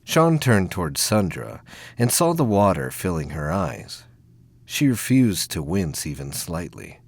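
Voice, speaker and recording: A man's voice: sits low, gravelly, no echo. A man reading scripted narration for a science fiction audio drama.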